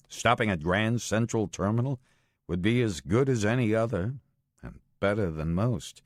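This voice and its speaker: Deep-voiced American man narrating a thriller. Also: a little mellow, dry recording, clean studio sound. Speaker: audiobook narrator. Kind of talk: scripted first-person thriller narration. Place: studio.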